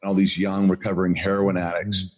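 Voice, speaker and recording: Booming, rambling older man. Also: little pitch movement, quick pace. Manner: enthusiastic, rambling storyteller with many restarts. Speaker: podcast co-host. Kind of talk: casual rambling chat.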